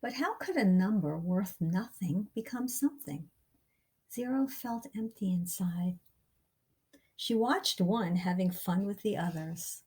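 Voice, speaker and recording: A woman reads a children's picture book aloud at an unhurried pace. Her voice sits at a middle pitch with a slight huskiness, and her phrasing is deliberate and dramatic for young listeners. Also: expressive, a little mellow, husky. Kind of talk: expressive children's read-aloud narration.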